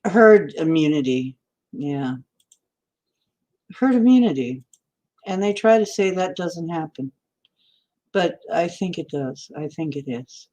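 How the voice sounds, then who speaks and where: A woman's voice: sits low, animated, takes it slowly, pauses often, warm tone. Rambling woman in her sixties. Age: 60s. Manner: chatty, meandering, answers viewer comments. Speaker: livestream host. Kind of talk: rambling livestream chat.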